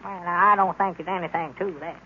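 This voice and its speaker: An actor playing a stubborn old country constable with a rural Southern accent in old-time radio comedy. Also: male voice, high voice, steady tone, fast talker, close to an everyday voice. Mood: grumbling, evasive. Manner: folksy rustic speech. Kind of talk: 1930s-40s radio comedy acting. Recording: tinny, noisy old radio recording.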